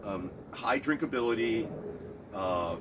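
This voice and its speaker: A man's voice: lowish voice, takes it slowly, background noise. A man with a stuffed-up nose, rambling casually in a solo talk about fights and bets, with many restarts and fillers. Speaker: cigar and MMA betting reviewer.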